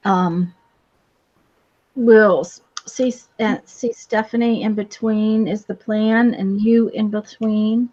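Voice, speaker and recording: Woman rambling casually, really unhurried, kinda dull lo-fi audio. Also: medium pitch, fairly even intonation, warm tone. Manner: hesitant, rambling. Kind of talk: casual chat. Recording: slightly dull, lo-fi sound.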